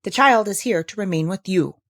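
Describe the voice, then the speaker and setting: A woman's voice: mid-range voice, moderate pace, a bit bright. A woman voicing an exasperated, indignant aunt who scolds emphatically in a storybook read-aloud. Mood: curt, defensive. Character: scolding, exasperated young aunt in a children's classic.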